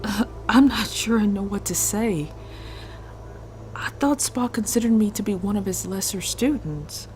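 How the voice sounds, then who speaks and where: A woman playing a thoughtful, questioning Romulan woman, speaking in a measured, deliberate way in a sci-fi audio drama. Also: mid-range voice, darkish tone, a little hiss or hum, close to an everyday voice, rough recording. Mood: fond, curious.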